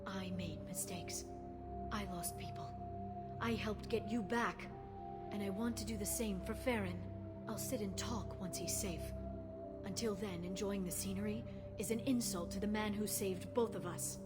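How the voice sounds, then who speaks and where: A woman's voice: mid-range voice, steady tone, moderate pace, close to an everyday voice. Determined, guarded alien woman, played by an actress. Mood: defensive, pained. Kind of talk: video game cutscene acting. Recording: loud music behind the voice.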